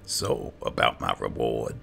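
A man roleplaying his video game character's lines as a player, in a gravelly voice. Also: extremely low pitch, sing-song, a little slow, bright, forceful tone, soft background music.

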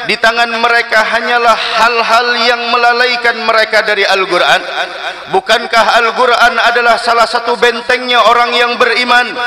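Man, middle-aged, declamatory Friday preacher at a stand mic; high, even pitch; clear crowd in the hall. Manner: fervent, declamatory, with chanted Arabic. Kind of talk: Friday sermon. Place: mosque pulpit. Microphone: stand mic at the pulpit.

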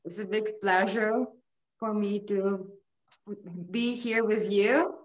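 A woman's voice: mid-range voice, fairly even intonation, takes it slowly. Woman in an echoey room. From Ukraine. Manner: earnest, with many hesitant "uh"s. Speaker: program coordinator, guest. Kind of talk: casual video interview.

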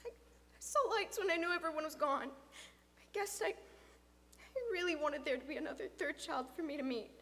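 Frightened young boy, female narrator, grieving and defensive, halting speech, bright high tone, dramatic audiobook read. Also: long reverb tail. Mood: nervous, pleading. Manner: halting, stammering delivery. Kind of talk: dramatic audiobook character acting.

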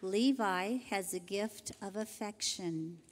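An older woman teacher reading graduation introductions into a handheld microphone, in a gentle, measured way. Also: medium pitch, a faint crowd, slight background noise. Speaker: kindergarten teacher. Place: large church sanctuary. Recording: hall reverb from a church PA.

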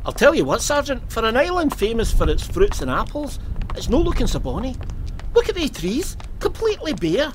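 An actor with a Scottish accent playing a plain-spoken, uneasy, sceptical police constable in an audio drama, grumbling in colloquial speech. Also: male voice, extremely high pitch, wildly swooping pitch, normal speed, slight background noise, exaggerated voice. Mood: puzzled. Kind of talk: full-cast audio drama acting.

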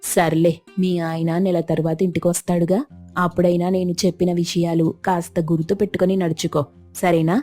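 A woman voicing a bossy, protective neighbour in an animated moral story, chiding and emphatic in a familiar way. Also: fairly low pitch, a little fast, faint music behind, natural voice. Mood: coaxing, chiding.

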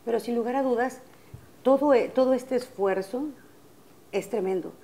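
A woman's voice: a little high, moderate pace, dark tone. A woman hosting a morning TV show, introducing her guest and asking questions in an emphatic way. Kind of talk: TV studio interview. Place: TV studio set. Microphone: lapel.

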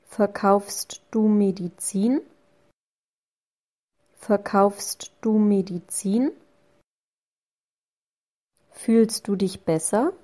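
A woman reading short phrases slowly and clearly, saying each one twice for learners. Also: medium pitch, steady tone, dark tone. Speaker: language lesson voice. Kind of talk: slow repeated phrase reading.

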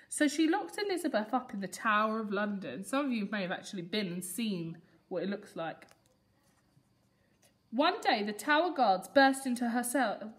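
Young female early years teacher, slightly high voice, expressive read-aloud for small children. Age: young adult. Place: school classroom reading corner, indoors.

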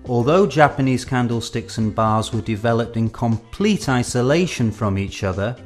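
Male narrator, mid-pitched voice, measured explanatory delivery, drawn-out phrase endings, scripted lesson, faint music behind. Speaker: presenter of an educational film. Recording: music under the voice.

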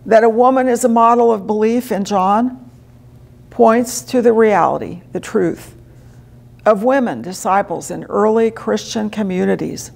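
A woman in her sixties, a pastor, preaching a sermon in slow, deliberate phrases with emphatic pauses, recorded in a small chapel. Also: mid-range voice, vocal fry, a little mellow. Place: small chapel in front of a stone wall.

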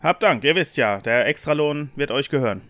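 A man's voice: mid-range voice, expressive, a little fast, fairly bright tone, no echo, soft background music, lightly acted. A man voicing an uneasy, politely spoken travelling merchant in a tabletop game, speaking hesitantly.

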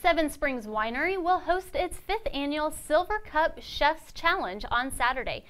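A young woman anchoring a local news update in a studio, reading announcements in a crisp, even newsreader style. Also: high-pitched, measured pace. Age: young adult.

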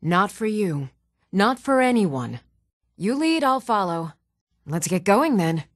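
An actress playing an earnest, dutiful woman of a fantasy realm in a video game, speaking in a measured, composed and formal way. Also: mid-range voice, very animated, no room sound, studio quality. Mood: loyal, steady.

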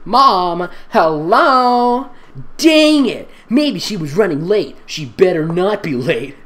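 A man's voice: high voice, expressive, slow, a bit bright, voice pushed up, full character voice. A man voicing a nerdy, melodramatic fifteen-year-old girl in an exaggerated, whiny storybook reading. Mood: shrill, irritated. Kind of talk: mock-dramatic fan fiction reading.

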